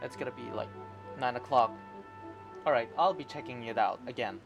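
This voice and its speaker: A man chatting casually and haltingly as he streams a video game, rambling between remarks. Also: medium pitch, creaky, dull audio. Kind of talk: casual livestream chat over a game. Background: game music.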